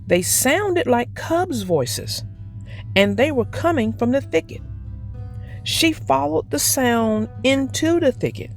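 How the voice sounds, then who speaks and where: A woman narrating a children's read-aloud as storyteller, expressive and deliberate. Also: high voice, faint music behind.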